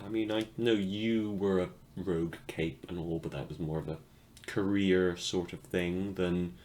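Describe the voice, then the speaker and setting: A man's voice: deep voice, a little mellow. A man playing a wry, awkward, self-deprecating small-time radio news host in a fiction podcast set after the apocalypse. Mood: chatty, hesitant. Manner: rambling, self-deprecating, dryly sardonic.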